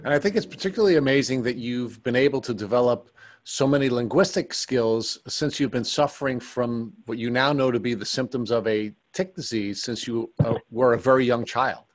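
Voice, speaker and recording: A man interviewing a guest, asking long, measured questions. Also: medium pitch.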